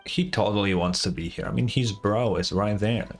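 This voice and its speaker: A man's voice: lowish voice, moderate pace, creaky. A man giving casual, slangy gameplay commentary as a streamer, reacting aloud somewhat hesitantly. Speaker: game streamer. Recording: game music behind the voice.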